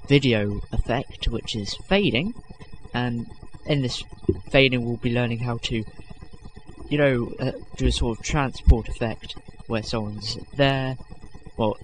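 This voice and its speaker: Rambling young man explaining software. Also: medium pitch, moderate pace, slight background noise, poor audio. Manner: rambling, many fillers and self-corrections; self-deprecating, casual. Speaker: video tutorial host. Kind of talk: unscripted screen-recording tutorial.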